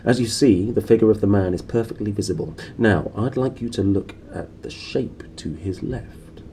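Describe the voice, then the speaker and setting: A man voicing a composed, authoritative gentleman explorer who lectures calmly and in measured phrases in a storybook reading. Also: fairly low pitch, lightly acted. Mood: calm, assured. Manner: calm, measured. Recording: clearly noisy room recording.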